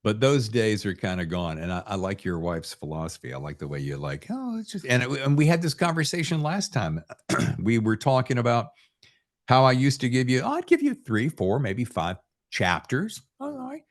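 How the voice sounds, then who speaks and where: A man's voice: mid-range voice, very animated, moderate pace. A middle-aged man hosting a casual podcast chat, rambling and salty-tongued as he tells his stories. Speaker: podcast host.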